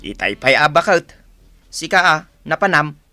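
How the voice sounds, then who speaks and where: An actor in an Ilocano radio drama playing a gruff, evasive husband and tired driver, grumbling dismissively with an Ilocano accent. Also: male voice, on the high side, very animated, moderate pace, bright, forceful tone, no echo, faint music behind, full character voice. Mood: irritated, evasive.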